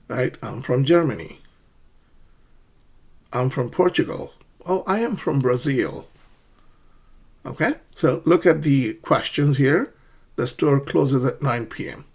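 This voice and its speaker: Guy teaching english, husky voice, bounces around in pitch a lot, keeps asking right?. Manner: patient, encouraging, often checks with "right?"; acts out sample questions with rising intonation. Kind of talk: ESL lesson.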